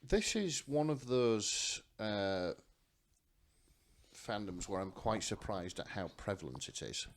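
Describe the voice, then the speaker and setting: Man, fairly low pitch, dry humour, informal podcast conversation, co-host. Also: lively intonation, slow pace. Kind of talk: casual chat among friends.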